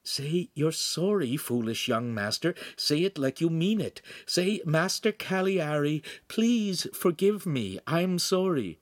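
A man's voice: a little high, expressive, slow, few pauses, no room sound, clean studio sound, voice pushed up. A man voicing an urgent, deferential adviser in an audiobook, coaxing and insistent, as if speaking into an ear.

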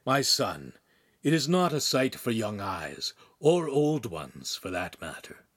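A man voicing an elderly bishop who speaks slowly, in a hushed and solemn way. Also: lowish voice, sing-song, exaggerated voice.

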